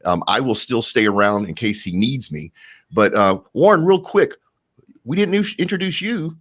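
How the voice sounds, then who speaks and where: A man's voice: medium pitch, expressive, normal speed, fairly bright tone. A man co-hosting and organizing a live online event in a casual way.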